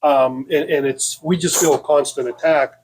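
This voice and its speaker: A man's voice: fairly high pitch, fairly even intonation, moderate pace, darkish tone, poor audio. An American man co-hosting as a legislator, giving his opinions in casual chat. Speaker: state legislator and co-host. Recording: clear background noise.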